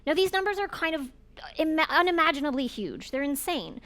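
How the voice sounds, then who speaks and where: Woman speaker, fairly high voice, earnest explainer at a conference talk. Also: big pitch swings, brisk, a bit bright. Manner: explanatory, earnest, addresses audience directly. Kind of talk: presentation talk.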